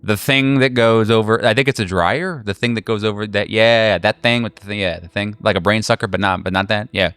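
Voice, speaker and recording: Low, smooth-voiced man game mastering. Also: fairly bright tone, dry recording. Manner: halting, slangy, jokey. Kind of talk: casual improvised tabletop horror narration.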